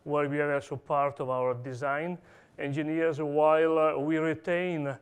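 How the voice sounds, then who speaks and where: A man from Italy speaks with an Italian accent, giving a technical talk. His voice is smooth and resonant and sits a little high for a man. He stops often, filling gaps with "uh" and restarting his sentences. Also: level tone, a little slow. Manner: hesitant, many "uh" fillers and restarts. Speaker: conference speaker. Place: conference hall.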